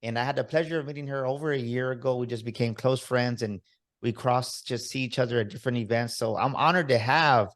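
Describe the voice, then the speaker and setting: A man's voice: mid-range voice, a little fast, a bit bright. A man hosting a motivational podcast, talking with enthusiastic, emphatic hype-man energy. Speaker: podcast host. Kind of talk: upbeat motivational podcast interview.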